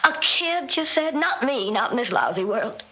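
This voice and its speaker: An actress in a 1960s radio drama playing a weary, frightened, bitter wife, speaking in halting, strained bursts. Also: female voice, a little high, very animated, pushed and bright, slightly rough, heavily acted. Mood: bitter, anguished. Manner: halting, repeating, emotionally strained. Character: weary, frightened, bitter wife confessing long-held hatred. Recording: dull, noisy old radio recording.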